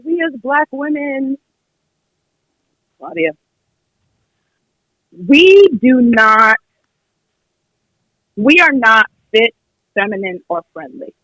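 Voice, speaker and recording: A woman's voice: on the high side, very animated, extremely slow, long pauses, pushed and bright, no room sound, rough recording. A young American woman calling in to a podcast, arguing her opinion conversationally and emphatically, often acting out what others would say. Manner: emphatic, acts out imagined quotes. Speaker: caller on a podcast.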